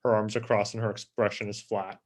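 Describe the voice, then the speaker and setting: A man's voice: fairly low pitch, little pitch movement, a little fast. A man reading stage directions as scripted narration.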